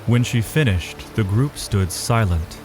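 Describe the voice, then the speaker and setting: Low-voiced, expressive male story narrator. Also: a little slow, music in the background, low-quality recording. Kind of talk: scripted dramatic story narration.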